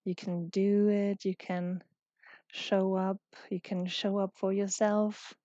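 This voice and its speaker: A woman leading a guided yoga class as the teacher, calm and gentle, speaking in short instructions with long pauses. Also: mid-range voice, no echo, dull audio.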